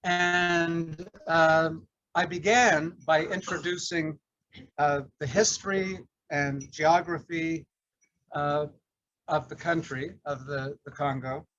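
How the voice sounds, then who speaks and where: An older man with a somewhat high voice, measured and earnest, pausing often on fillers. The sound is dry and dull, with little room around it. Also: steady tone, a bit bright, no echo. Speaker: retired English professor, class teacher. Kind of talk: casual class introduction.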